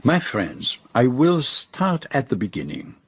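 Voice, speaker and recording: An actor playing a courteous, theatrical older Belgian detective in a radio drama, with a French-speaking Belgian accent and formal, precise, deliberate speech. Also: male voice, mid-range voice, huge pitch swings, breathy edge, exaggerated voice. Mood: measured storytelling.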